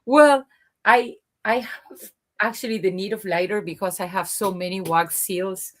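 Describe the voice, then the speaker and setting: A woman with a deep alto voice, chatting as a guest and telling lively stories. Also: unhurried. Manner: lively, animated storyteller. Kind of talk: casual chat.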